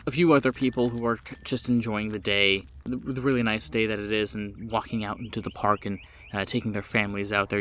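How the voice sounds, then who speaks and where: A man improvising narration as the game master of a tabletop game in a halting, conversational way. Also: on the low side, fairly bright tone, a little hiss or hum.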